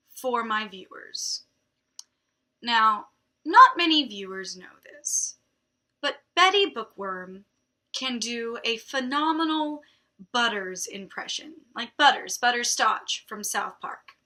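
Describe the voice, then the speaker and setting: A woman's voice: fairly high pitch, big pitch swings, slow pace, long pauses, sharp tone. A young adult woman hosting a book show, reading a novel aloud and breaking off for wry, drily mocking commentary. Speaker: book reviewer host.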